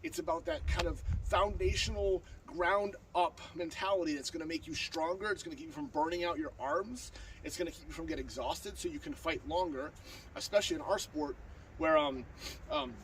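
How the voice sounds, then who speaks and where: A man with a stuffy, blocked-up nose talks quickly and earnestly, with lots of fillers and restarts, in a fairly high voice. He is recorded outdoors on a lawn by a camera a few steps away. Plenty of outdoor noise sits behind him. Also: fairly bright tone. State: stuffed up from a cold. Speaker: sword-fighting instructor. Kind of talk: casual outdoor tutorial. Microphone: far camera on a tripod. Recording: distant mic with outdoor noise.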